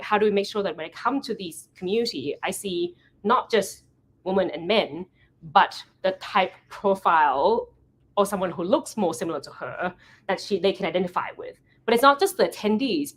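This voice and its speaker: Animated woman telling a story. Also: mid-range voice, normal speed. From Thailand. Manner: animated storytelling, acting out quoted conversations; often corrects herself mid-sentence. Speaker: event founder giving a talk. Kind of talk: meetup presentation.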